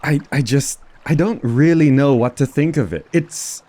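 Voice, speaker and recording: A man's voice: mid-range voice, normal speed, mellow. A man hosting a gaming video with casual, off-the-cuff commentary, often repeating words as he muses aloud.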